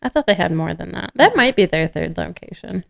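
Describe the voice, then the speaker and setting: A woman's voice: on the low side, animated, a little fast, gravelly. A woman co-hosting a podcast with informal, jokey conversational banter. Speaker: podcast co-host. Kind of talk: casual co-hosted podcast banter.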